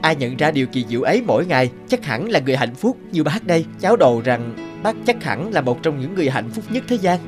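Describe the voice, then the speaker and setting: Eager young fairy-tale graduate, lively pitch. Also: male voice, fast talker, hardly any pauses, music in the background. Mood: earnest, wondering. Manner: earnest, admiring. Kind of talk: cartoon fairy-tale acting.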